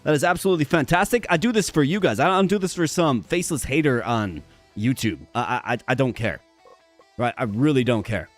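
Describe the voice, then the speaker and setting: A man's voice: mid-range voice, lively intonation, brisk, faint music behind. A man streaming a game, talking casually and upbeat to his viewers, with a strained, worn voice and an occasional stutter. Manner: upbeat, chatty, addresses viewers directly; stutters sometimes, repeats words. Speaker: gaming streamer. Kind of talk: casual live-stream commentary.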